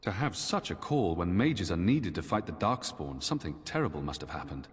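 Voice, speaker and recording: A man voicing a weary, dour Templar knight commander with formal, measured speech in a fantasy video game. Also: deep voice, very animated. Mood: grave, wary. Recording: long echoing hall reverb.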